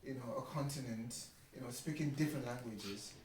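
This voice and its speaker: An echoey, slightly noisy recording of a man with a Nigerian accent, set back from the microphone. He chats as a guest, explaining his view and checking that the listener follows. His pitch is average for a man. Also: fairly quick, darkish tone. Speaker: interview guest. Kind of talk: casual conversation about marriage. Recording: echoey room, distant mic, faint noise.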